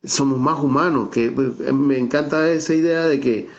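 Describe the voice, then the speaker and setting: A man's voice: medium pitch, moderate pace. A middle-aged man from the Venezuelan Andes, with a Táchira accent, speaking as a guest on an online call. He explains at length in a thoughtful way, restarts often and keeps adding "¿no?" as he talks. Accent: Venezuelan Andean (Táchira). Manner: thoughtful, many restarts and fillers, asks "¿no?". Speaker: theater director and guest speaker. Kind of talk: online talk, reflective conversational explanation. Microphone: video call. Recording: dull lo-fi call audio.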